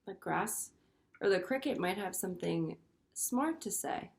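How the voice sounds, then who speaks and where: A woman teacher reading a picture book aloud to children, expressive and pausing to explain things simply. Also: lowish voice, creaky. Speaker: primary school teacher. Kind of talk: read-aloud to children with commentary.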